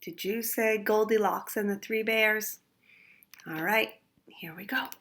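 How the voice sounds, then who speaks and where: Woman teacher, read-aloud for kids, slow, bright, expressive. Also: medium pitch. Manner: slow, expressive, pauses to ask questions. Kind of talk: reading a picture book to children.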